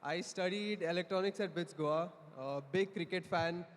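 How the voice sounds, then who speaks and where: High-voiced young Indian man, echoey hall. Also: moderate pace. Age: 20s. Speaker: product lead, panelist. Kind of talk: casual self-introduction.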